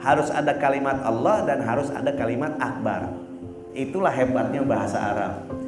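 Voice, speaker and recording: A man's voice: medium pitch, normal speed. A man preaching a sermon in a casual, blunt and teasing way, often stopping to search for words. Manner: casual and blunt, teasing, fillers like "apa namanya". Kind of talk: religious sermon. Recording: echoey room with music behind.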